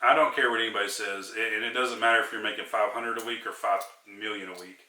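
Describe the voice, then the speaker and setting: A man's voice: on the low side, fairly even intonation, quick pace, sharp tone, reverberant, recorded from a distance. A man interviewing a guest casually, repeating affirmations and encouraging him.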